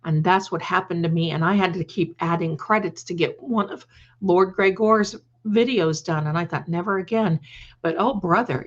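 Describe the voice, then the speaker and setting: Female livestream host, mid-range voice, casual and rambling, effusively affectionate with viewers; slightly dull audio. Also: medium pitch. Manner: rambling, repeats phrases for emphasis. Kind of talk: casual live chat with viewers.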